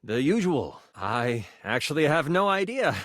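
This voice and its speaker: An eager, nerdy video game character, a living die who runs adventures with theatrical flourish. The voice sits fairly high and swings widely in pitch, captured dry and close. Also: male voice, very animated, a little slow, fairly bright tone, dry recording. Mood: eager, inviting. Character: Chance, excitable geeky living twenty-sided die, eager game master.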